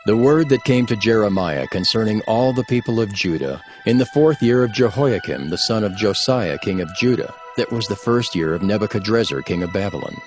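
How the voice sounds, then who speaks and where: A man narrating scripture from a script in a formal, measured manner. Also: fairly low pitch.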